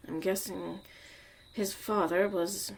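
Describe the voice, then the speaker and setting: A woman playing a young witch mother, a tough, protective and caring survivor, in an intimate, halting, confiding ASMR roleplay monologue. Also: mid-range voice, steady tone. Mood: reflective, fond.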